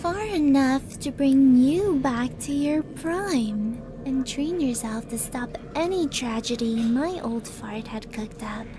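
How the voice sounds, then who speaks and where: A woman's voice: high voice, expressive, slow pace, few pauses, noisy background. A woman playing a sadistic, flirtatious demon princess in an ASMR roleplay audio drama, teasing and mock-sweet in a theatrical way. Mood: scheming, sardonic. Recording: music under the voice.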